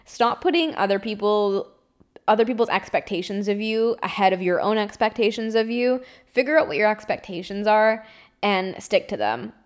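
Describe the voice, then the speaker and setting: A woman's voice: mid-range voice, lively intonation, normal speed. Chatty woman in her twenties. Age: 20s. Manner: chatty and candid, frequent fillers and laughs. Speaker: podcast host. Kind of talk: casual storytime monologue.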